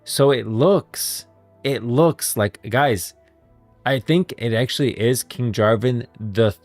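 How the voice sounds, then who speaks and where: A man's voice: mid-range voice, slow, a little mellow, no room sound, faint music behind. A man streaming casual live commentary on game lore, rambling and repeating phrases as he reasons aloud.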